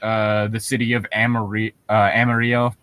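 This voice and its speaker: A man co-hosting a podcast, chatting casually and reading aloud, swearing freely in a relaxed conversational way. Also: fairly low pitch, moderate pace. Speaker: podcast co-host. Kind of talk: casual banter and creepypasta reading.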